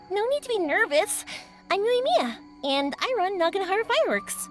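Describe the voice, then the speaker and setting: A woman's voice: extremely high pitch, very animated, normal speed, soft background music. A woman voicing a cheerful, friendly young fireworks maker in a video game, bubbly and reassuring. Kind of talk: video game character acting.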